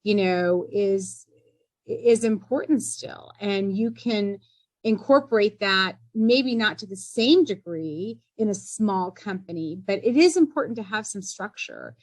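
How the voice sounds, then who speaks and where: A middle-aged woman speaks as an entrepreneur guest in a podcast interview. She is earnest and measured, with frequent fillers like "you know" and "um". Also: medium pitch, expressive, a little mellow.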